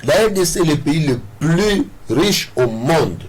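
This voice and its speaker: A man's voice: fairly high pitch, lively intonation, measured pace. A man with a Congolese accent being interviewed as a political candidate, switching between Swahili and French in an emphatic, self-assured way and repeating phrases. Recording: clearly noisy background ambience.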